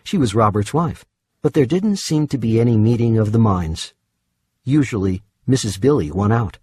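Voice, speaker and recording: A man's voice: on the low side, expressive, moderate pace, darkish tone, breathy edge, no room sound. A man narrating fiction from a script as an audiobook reader, recorded in a studio. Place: dry studio.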